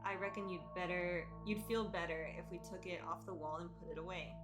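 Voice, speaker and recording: A woman's voice: fairly low pitch, little pitch movement, brisk, over loud music, close to an everyday voice. A woman voicing an anxious, urgent young friend in a horror visual novel. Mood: concerned.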